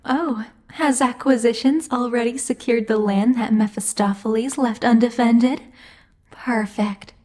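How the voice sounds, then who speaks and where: A woman playing a stern, impatient female demon lord in an ASMR roleplay, speaking in a haughty, measured, exasperated way. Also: fairly high pitch, fairly even intonation, darkish tone. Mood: businesslike, exasperated. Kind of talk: ASMR roleplay monologue addressed to the listener.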